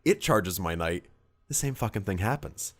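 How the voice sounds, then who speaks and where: A man with a very low voice hosts a casual, conversational podcast. He recounts things in a wry, self-deprecating way and swears freely. The sound is dry, with no room echo. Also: expressive, normal speed, fairly bright tone. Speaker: podcast host. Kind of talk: casual chat recounting tabletop wargame battles.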